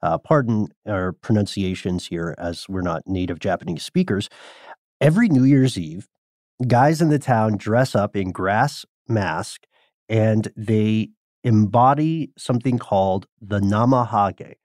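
A man with a Southern American accent, co-hosting a casual podcast and telling stories in a lively way. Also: on the low side, unhurried, no echo, clean studio sound. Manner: lively storyteller, acts out quotes. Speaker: podcast co-host.

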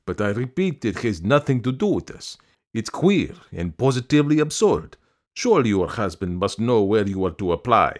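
A man's voice: mid-range voice, sing-song, normal speed, voice pushed up, lightly acted. A man voicing a weary, irritable male bank official in a story, curt and languid as he explains himself.

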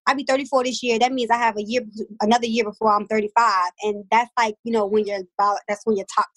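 A woman's voice: fairly high pitch, level tone, fast, low-quality recording. A woman in her thirties chatting as a guest, candid and slangy, often laughing as she tells stories. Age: 30s. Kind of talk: casual chat.

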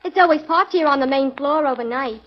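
Actress as store employee, very high female voice, old radio drama, muffled recording, light hiss. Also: fairly quick, lightly acted. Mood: chatty. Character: chatty department store employee, a woman, alarmed by a discovery. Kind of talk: 1940s radio acting. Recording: muffled, hissy 1940s radio recording with clicks.

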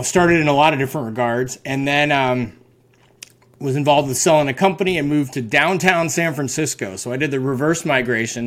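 A man in his forties from Colorado, a podcast guest telling his story in relaxed, casual chat. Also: medium pitch. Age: 40s. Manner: relaxed, many "uh" fillers, self-deprecating.

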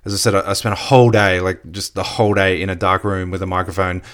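A man with a slightly croaky voice giving a casual motivational business talk, full of "you know" fillers. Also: sits low, little pitch movement, fast, fairly bright tone. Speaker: network marketing coach. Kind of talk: motivational solo podcast talk.

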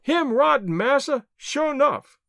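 High-pitched, folksy servant, eager and simple. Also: male voice, lively intonation, a little slow, vocal fry, a bit bright, no room sound, clean studio sound, exaggerated voice. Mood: earnest, hesitant. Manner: folksy nonstandard dialect speech. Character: simple, literal-minded male servant up a tree, eager and obliging.

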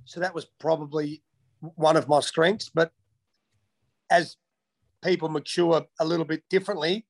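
A man's voice: on the high side, fairly even intonation, moderate pace, gravelly. An Australian man from Western Australia in his thirties, answering interview questions casually with many ums and restarts. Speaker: interviewee, former footballer.

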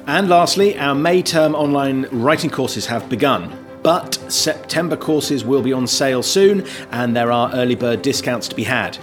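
A man's voice: medium pitch, animated, moderate pace. Man host reading over guitar music. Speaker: podcast host. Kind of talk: scripted announcements. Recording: guitar music playing underneath.

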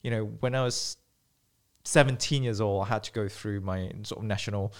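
A man's voice: on the low side, fairly quick. A man from Malaysia hosting a podcast, telling his own story in an earnest, conversational way. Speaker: podcast host. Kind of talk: casual interview talk.

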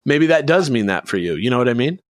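A man's voice: mid-range voice, sing-song, quick pace, no echo, clean studio sound. A man hosting a podcast, explaining things in a casual, rambling, conversational way. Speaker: podcast host presenting a researched topic.

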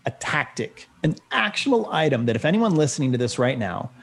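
A man's voice: medium pitch, sing-song, a little fast, vocal fry, fairly bright tone. A man hosting a podcast interview, affirming his guest enthusiastically and often repeating words as he talks. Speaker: podcast host. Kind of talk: interview conversation.

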